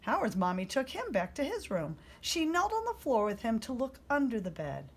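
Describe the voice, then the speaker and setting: A woman reading a children's story aloud as a storyteller, in expressive narration. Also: fairly high pitch, moderate pace, slightly rough.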